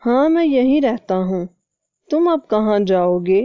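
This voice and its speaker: A woman's voice: medium pitch, lively intonation, a little slow, no room sound, highs cut off, lightly acted. A woman voicing a helpful, gullible male crow in a cartoon, with a trusting, conversational manner. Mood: curious.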